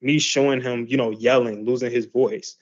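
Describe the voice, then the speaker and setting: A man's voice: mid-range voice, steady tone, moderate pace, highs cut off. A man teaching a class in a loose, conversational way, full of fillers and restarts. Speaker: class instructor. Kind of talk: casual explanatory lesson.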